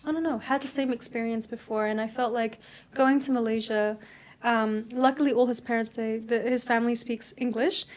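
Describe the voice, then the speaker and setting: A woman's voice: on the high side, steady tone, moderate pace. A young adult woman from South Africa, a guest in a casual interview, talking reflectively and chattily and laughing easily.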